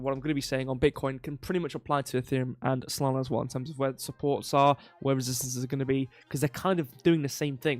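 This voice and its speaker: Nasal man rambling on a livestream. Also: medium pitch. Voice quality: slightly nasal, stuffy nose. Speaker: crypto livestream host. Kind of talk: casual rambling livestream market commentary. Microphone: close microphone.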